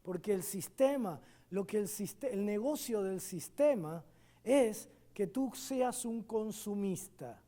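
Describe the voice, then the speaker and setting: Argentine guy preaching, really high voice, slow and emphatic, gets loud then drops quiet. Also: lively intonation. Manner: emphatic preaching, swinging between loud declarations and quiet asides. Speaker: guest pastor. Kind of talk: sermon. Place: church service.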